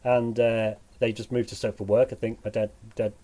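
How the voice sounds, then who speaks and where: A man with a northern English accent tinged with Sheffield, chatting as a podcast guest in a halting, wry way. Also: mid-range voice, level tone, warm tone. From England. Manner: halting, self-correcting, dryly wry. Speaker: podcast guest, musician and teacher. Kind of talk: casual podcast conversation.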